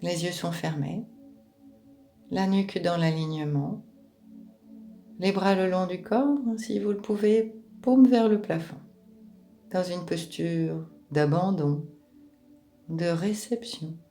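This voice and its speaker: Slow, low-pitched woman guiding meditation. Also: soft background music. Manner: calm, gentle, unhurried phrasing with pauses. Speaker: guided meditation instructor. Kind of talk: guided relaxation meditation, soothing instructions.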